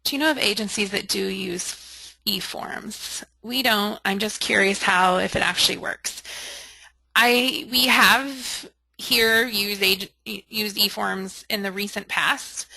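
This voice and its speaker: Bright-voiced woman leading software training. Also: mid-range voice, fairly even intonation, slow, vocal fry, no echo, poor audio. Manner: candid, helpful, thinking aloud. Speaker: software trainer leading a webinar and taking questions. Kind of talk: informal live training, reading out and answering questions. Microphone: conference call or webinar audio.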